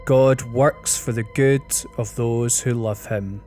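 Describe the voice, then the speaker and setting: Man, scripture reading, slow pace, mid-range pitch, soft music behind. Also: fairly even intonation. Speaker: scripture reader.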